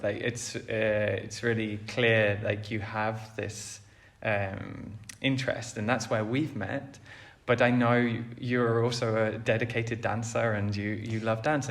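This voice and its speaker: A man's voice: fairly low pitch, unhurried, creaky. A man hosting a podcast, interviewing a guest in a friendly, encouraging way with short prompts. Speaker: podcast host. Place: large room.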